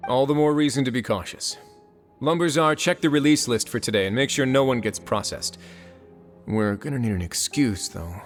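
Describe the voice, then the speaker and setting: An actor voicing a composed, shrewd prison chief in an anime game, measured, wry and authoritative. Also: male voice, mid-range voice, faint music behind, close to an everyday voice. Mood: calm, analytical.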